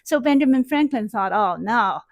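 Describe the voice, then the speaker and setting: High-pitched middle-aged lady teacher rambling through a story, headset mic, dry sound. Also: moderate pace, creaky, dry recording, muffled recording. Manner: chatty, many fillers and restarts, animated gestures. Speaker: math teacher. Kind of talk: casual storytelling lecture. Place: classroom in front of a whiteboard.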